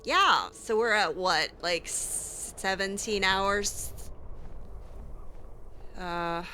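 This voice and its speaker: Female let's player, in-character and self-talking; clear forward tone, leisurely delivery, light background ambience. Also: medium pitch, drawn-out words, pushed and bright, slight background noise. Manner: talks aloud to herself, musing. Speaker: let's play host roleplaying her character. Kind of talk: in-character improvised game narration.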